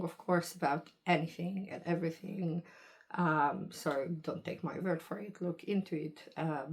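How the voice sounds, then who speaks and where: A woman speaks to camera in a deep, low voice. She talks slowly and meanders, pausing and hedging her points. It sounds like an unscripted personal vlog. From Hungary. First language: Hungarian. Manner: rambling, hesitant, hedging. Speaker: vlogger. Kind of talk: casual monologue to camera.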